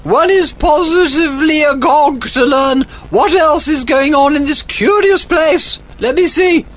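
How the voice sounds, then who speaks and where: An actress playing a haughty, sardonic British agony aunt in a 1940s radio comedy, with pompous, withering formality. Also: female voice, sits high, unhurried. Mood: self-satisfied.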